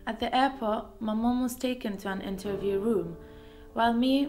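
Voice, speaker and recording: A young woman from Sierra Leone recounts her story plainly, in a mid-pitched voice. Soft music sits faintly behind her. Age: young adult. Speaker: young asylum seeker telling her story. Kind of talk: personal narration.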